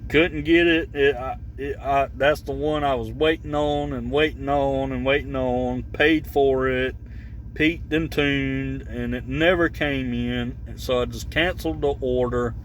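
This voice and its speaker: Male vlogger, unhurried and halting, casual off-the-cuff product chat with some vehicle noise behind him. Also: medium pitch, steady tone. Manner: halting, rambling, searching for words. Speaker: vlogger showing his CB radio. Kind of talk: casual unscripted product talk.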